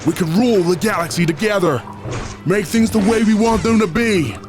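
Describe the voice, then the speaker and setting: A man's voice: high voice, moderate pace, music in the background, close to an everyday voice. A man playing a fallen Sith lord and father in a fan audio drama, solemn, persuasive and theatrical. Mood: commanding, tempting.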